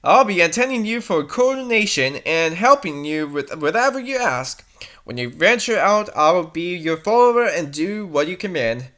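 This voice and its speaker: A woman's voice: fairly low pitch, big pitch swings, normal speed, a bit bright. A woman voicing a suave, pushy male robot servant with formal, exaggerated delivery in a story reading. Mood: smooth, formal.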